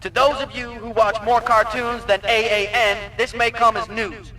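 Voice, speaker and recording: An actor playing a stern, sardonic, gung-ho American Marine commander delivering a rallying military briefing in a video game. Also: male voice, sits high, fairly even intonation, normal speed, bright, forceful tone, poor audio. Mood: stern, rousing.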